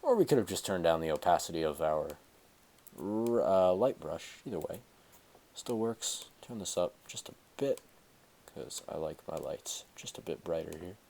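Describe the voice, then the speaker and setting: A man's voice: deep voice, lively intonation, normal speed, creaky, mellow. A teenage man with a stuffy cold, casually talking through a tutorial on a headset, rambling with fillers and self-corrections. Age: teens. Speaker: tutorial host. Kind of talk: casual screen-recorded tutorial. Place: small room at home, indoors.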